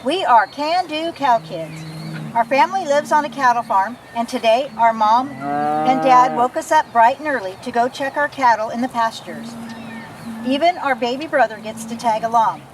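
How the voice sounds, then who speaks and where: A woman's voice: a little high, moderate pace, crowd noise. A woman reading a children's picture book aloud expressively, as if to young listeners. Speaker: storybook reader. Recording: noisy recording, distant from the mic.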